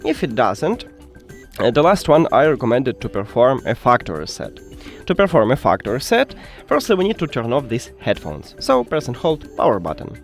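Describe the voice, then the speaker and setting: Man with lively, swooping pitch. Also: normal speed, soft background music. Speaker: tech tutorial host. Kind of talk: step-by-step instructional narration.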